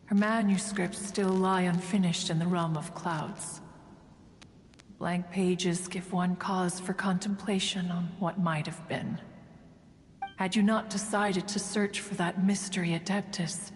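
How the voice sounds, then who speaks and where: A woman's voice: fairly low pitch, steady tone, measured pace, in a large hall, slight background noise, lightly acted. A woman playing a proud, ancient, aloof immortal who tells stories in formal, archaic, stately speech in a video game. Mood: wistful, solemn. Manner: formal, stately, archaic storytelling, refers to self as "one". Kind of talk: scripted video game dialogue.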